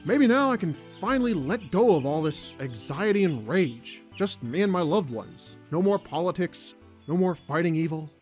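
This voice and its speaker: A man plays a weary, grumpy cartoon dad. The pitch is high and lively, the delivery sardonic and exasperated, with soft music underneath. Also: moderate pace. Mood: weary relief. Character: grumpy, weary father trying to reconcile with family. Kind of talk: cartoon parody voice acting.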